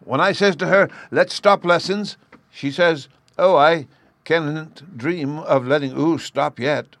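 A man's voice: a little high, slow pace, fairly bright tone, no room sound, voice pushed up. A man voicing a stubborn, cheeky little boy who lisps in baby talk, reading a storybook. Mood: insistent. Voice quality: childish lisp, says oo for you. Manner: insistent, bouncy.

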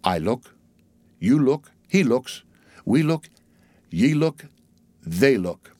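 A man's voice: medium pitch, big pitch swings, takes it slowly, dark tone, no room sound. A man voicing a crazed ship's boy who chants eerie nonsense in a repetitive singsong, in a storybook reading. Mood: dazed chanting.

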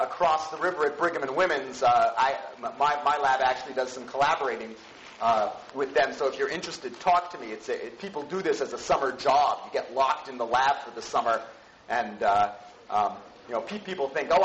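A man giving an informal, conversational university lecture, explaining things in a halting, rambling way with frequent false starts and self-corrections. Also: mid-range voice, a bit bright. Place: lecture room. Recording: echoey lecture room with background noise.

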